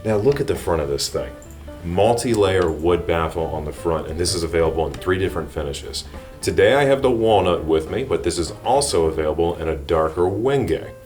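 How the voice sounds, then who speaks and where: A man with a very deep voice hosts a product review. He explains features in an enthusiastic, presenterly way. Faint music plays behind him. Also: lively intonation, a little fast, darkish tone. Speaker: product reviewer and channel host. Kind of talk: scripted product review narration to viewers.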